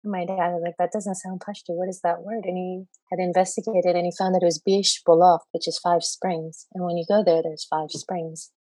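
A woman speaking as a guest poet on a discussion panel, pausing often with fillers and restarting her thoughts. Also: lowish voice, level tone, dark tone, no echo, low-quality recording. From grew up in Saudi Arabia and the US. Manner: hesitant, many fillers and restarts.

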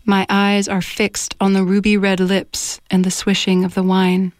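A woman's voice: medium pitch, completely flat delivery, unhurried, no room sound. A woman singer-songwriter in a casual radio interview, chatty, searching for words and laughing often. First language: English. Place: radio studio.

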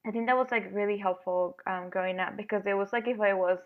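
A young woman from Venezuela, a flute player, answering interview questions in a hesitant way with many pauses and ums. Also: mid-range voice, fairly even intonation. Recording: phone-like narrow sound.

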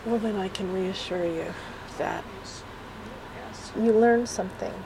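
Gravelly-voiced woman in a noisy car. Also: medium pitch, a little slow. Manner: gentle, reassuring, laughs softly. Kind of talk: casual heartfelt conversation. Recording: loud vehicle road noise.

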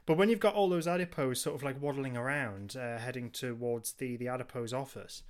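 A man co-hosting a podcast in casual review chat, rambling haltingly and chuckling as he goes. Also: mid-range voice. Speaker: podcast co-host.

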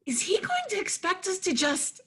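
A woman's voice: very high-pitched, wildly swooping pitch, normal speed, pushed and bright, full character voice. A woman playing a naive young would-be model in a play reading, hesitant and full of questions. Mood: unsure.

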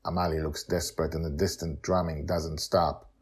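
A man hosting a podcast as its author, speaking in a halting, candid and emphatic way with a husky voice. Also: extremely low pitch, level tone, darkish tone. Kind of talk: casual spoken introduction, then story narration.

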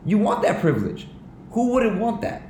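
Animated, cursing New man. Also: sits high, normal speed. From New York. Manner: animated, profane storytelling with laughs. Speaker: podcast host. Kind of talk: casual conversational interview. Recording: echoey room with faint background noise.

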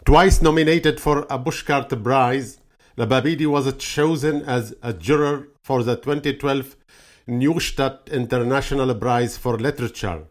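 Formal male interview host. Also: medium pitch. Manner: formal, deliberate, carefully read introduction. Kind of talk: formal introduction and interview questions.

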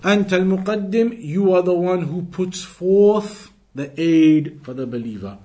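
A man teaching a religious text lesson to a class, explaining each phrase, asking rhetorical questions, and reciting quoted Arabic quickly and fluently. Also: sits high, expressive, mellow. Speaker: Islamic teacher.

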